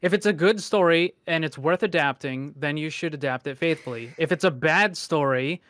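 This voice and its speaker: A man with a somewhat high voice argues casually on a panel. He is hesitant yet insistent, circling back over his own phrases. The sound is close and dry. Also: normal speed, a bit bright, no room sound. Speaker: discussion panelist. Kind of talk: casual debate.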